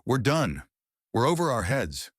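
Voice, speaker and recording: A man's voice: medium pitch, sing-song, unhurried, fairly bright tone, no echo, clean studio sound, voice pushed up. A man voicing a frightened young college athlete with a breathless, shaken manner in a story narration. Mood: desperate dread.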